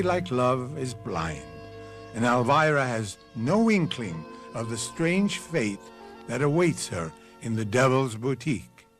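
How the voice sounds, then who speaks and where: An actor playing an ominous, moralizing storyteller who hosts and narrates a radio mystery. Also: male voice, mid-range voice. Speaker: host and narrator. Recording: faint music behind narration.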